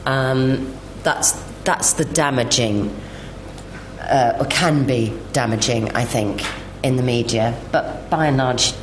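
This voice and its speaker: A woman's voice: extremely low pitch, takes it slowly. A woman giving her opinions conversationally as a panellist in a live discussion. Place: live festival panel in echoey room. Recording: echoey noisy room.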